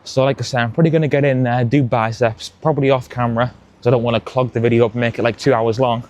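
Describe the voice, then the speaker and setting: A man's voice: medium pitch, steady tone, quick pace, a little mellow. A young man, chatting casually as a fitness vlogger and rambling with frequent self-corrections, talking into a clip-on mic. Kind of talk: casual vlog chat. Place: outdoors by a busy road; cold garage gym, echoey.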